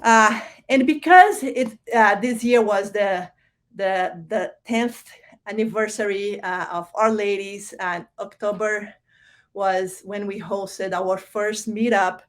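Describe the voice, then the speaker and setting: A woman in her thirties with a Brazilian Portuguese accent gives a keynote in a relaxed, conversational way. She often stops to rephrase and fills pauses with "uh" and "like." Her voice sits at a middle pitch for a woman. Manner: conversational, many fillers and restarts. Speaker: keynote speaker. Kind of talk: conference keynote talk.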